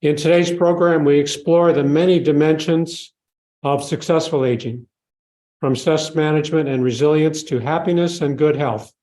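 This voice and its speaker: Male host at a live podcast event, measured, formal introduction style. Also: on the high side, fairly even intonation, dark tone, reverberant. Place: learning center hall with a live audience.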